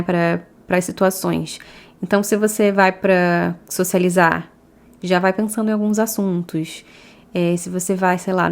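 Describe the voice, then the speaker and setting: A Brazilian woman with a Brazilian Portuguese accent hosting a podcast, chatting casually and often laughing between points. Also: medium pitch. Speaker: podcast host.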